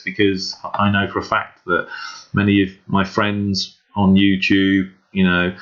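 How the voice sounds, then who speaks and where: Deep, bright-voiced man, muffled recording. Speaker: shortwave radio hobbyist and video host. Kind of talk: casual screen walkthrough vlog. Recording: dull, muffled lo-fi microphone.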